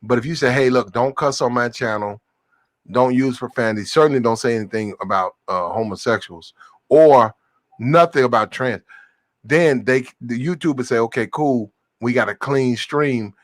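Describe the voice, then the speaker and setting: A man's voice: medium pitch, normal speed, dry recording. Slangy man explaining things on a panel. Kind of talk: casual online panel chat. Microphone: headphones with mic.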